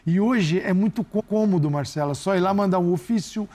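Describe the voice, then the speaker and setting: A man's voice: sits high, brisk, mellow. A male football pundit on a panel, emphatic and critical, repeating words for stress. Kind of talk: studio panel commentary.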